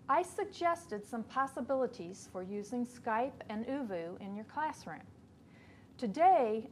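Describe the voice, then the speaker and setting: A woman in her fifties reads an instructional webcast script in a measured, steady way from a small studio. Her pitch is mid-range for a woman. A faint hiss sits under the close-miked voice. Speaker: educator presenting a webcast. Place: small studio before a backdrop.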